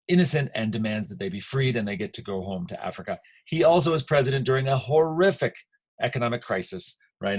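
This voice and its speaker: An American man giving an informal history lecture as a teacher, conversational and emphatic, checking in with his listeners. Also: fairly low pitch.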